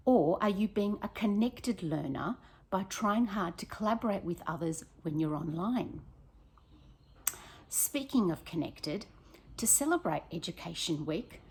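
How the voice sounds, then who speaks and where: A woman primary school teacher reading a picture book aloud to children, expressive and prompting her listeners with questions, in a slightly husky voice. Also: on the low side, a little hiss or hum.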